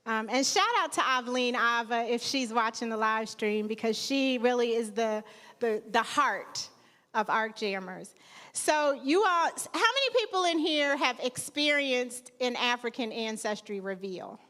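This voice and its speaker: A woman's voice: a little high, a little slow, fairly bright tone. A woman hosting a live event in a theater, chatting informally and playfully with the audience. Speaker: event host. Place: theater stage. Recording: live hall with long reverb.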